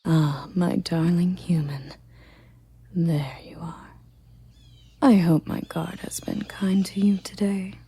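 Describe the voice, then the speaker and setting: A woman voicing a regal, tender and possessive elven queen in a breathy ASMR roleplay whisper, with soft, commanding endearments. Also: lowish voice, steady tone, slow, long pauses, no echo, full character voice. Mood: tender, composed.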